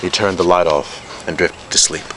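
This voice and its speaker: Deep-voiced man reading fiction aloud. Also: steady tone, normal speed. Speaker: reader of a novella excerpt. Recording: amateur video with loud background noise.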